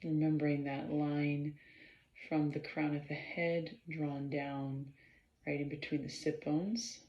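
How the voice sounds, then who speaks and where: Lady doing a guided meditation, really slow w pauses, lots of vocal fry, echoey room. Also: low voice, little pitch movement. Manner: measured, halting delivery with pauses and frequent throat clearing. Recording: roomy, slightly dull sound.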